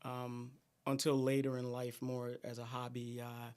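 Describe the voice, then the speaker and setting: A middle-aged man from Guyana, a singer, chatting in an interview in a soft, halting way with many fillers. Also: mid-range voice, monotone, clean tone. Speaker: guest singer. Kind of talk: casual interview chat.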